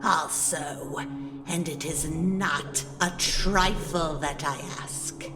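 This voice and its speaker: A woman playing a sinister, mocking sea witch in an audio drama, with a theatrical, drawn-out, condescending delivery. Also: low voice, music in the background. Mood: scheming, menacing. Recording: long cavernous reverb.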